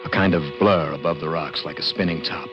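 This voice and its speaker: A man's voice: rumbling low, fairly even intonation, brisk. An actor playing a plain-spoken American garage mechanic in his thirties, narrating an old radio drama in an urgent, folksy way. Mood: wondering, tense. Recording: dull old radio recording with music.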